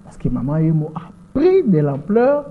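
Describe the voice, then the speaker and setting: A Congolese woman in her sixties answers an interviewer's questions in French with a Congolese accent. She talks in a measured way, repeating words as she searches for them. The sound is thin and boxy, like a voice over a phone line. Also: low voice, huge pitch swings. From Democratic Republic of the Congo. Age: 60s. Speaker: interview guest, lawyer.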